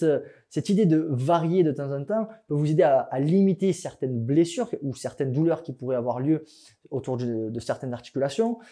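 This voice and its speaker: A young male fitness coach talks through training gear in a relaxed vlog, joking and filling his pauses with "euh." His voice sits a little high and sounds mellow and soft. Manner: joking, chatty, laughs at himself, many fillers. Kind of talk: casual instructional vlog.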